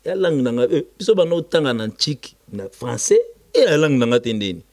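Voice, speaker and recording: Congolese man, animated emphatic interview talk. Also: mid-range voice, moderate pace. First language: Lingala. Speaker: musician being interviewed. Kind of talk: casual interview, recounting band history.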